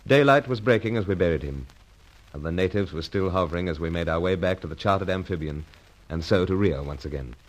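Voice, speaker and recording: An actor playing a gallant, composed secret agent with gentlemanly phrasing in a radio serial. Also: male voice, low voice, fairly quick, lightly acted, rough recording. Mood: grave narrating. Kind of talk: radio serial acting and narration.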